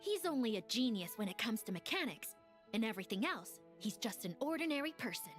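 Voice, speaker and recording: Grave young performer reciting drama in a video game, high and animated. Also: female voice, a little fast, faint music behind. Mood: dramatic, grave. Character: solemn young actress reciting dramatic film lines. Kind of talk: scripted video game dialogue, melodramatic.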